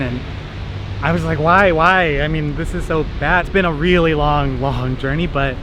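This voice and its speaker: Man, thirties, slightly high voice, earnest, loud street background. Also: noisy background. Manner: earnest, reflective. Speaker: street interviewee.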